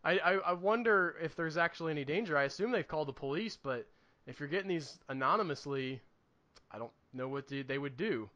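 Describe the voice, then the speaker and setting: A man with a high, bright voice talks as a podcast co-host. He chats casually and gives his opinions in a wry, slightly sweary way. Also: lively intonation, brisk, lo-fi sound. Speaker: podcast co-host reviewing movies. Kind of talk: casual chat.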